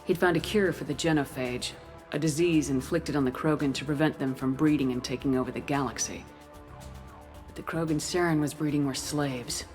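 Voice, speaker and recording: A woman acts a sci-fi game's military commander, grave and determined. The voice is low for a female speaker, measured and authoritative, with cinematic music clearly behind it. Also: darkish tone, close to an everyday voice. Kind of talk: video game dialogue and scripted narration. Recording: music under the voice.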